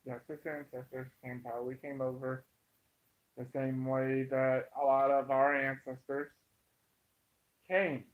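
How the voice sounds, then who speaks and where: An American man giving an informal, opinionated monologue to viewers, emphatic and halting, repeating himself to drive points home. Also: mid-range voice, completely flat delivery. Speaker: online commentator. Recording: dull, muffled lo-fi sound.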